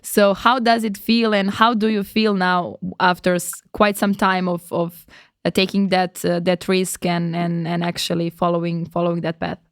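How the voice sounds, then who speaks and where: A woman's voice: fairly low pitch, level tone, normal speed, clear, resonant tone, no echo, studio quality. A woman from Serbia, hosting a podcast interview and asking long, hesitant questions full of restarts. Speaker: podcast host.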